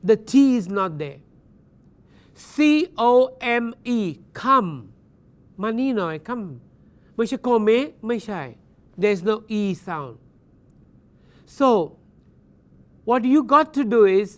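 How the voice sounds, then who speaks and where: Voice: mid-range voice, clear, resonant tone. A university English teacher giving a recorded lecture. They explain patiently, spell words out letter by letter, switch between English and Thai mid-sentence, and keep checking in with "Understand?". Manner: gentle and encouraging toward learners. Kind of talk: recorded distance-learning lecture.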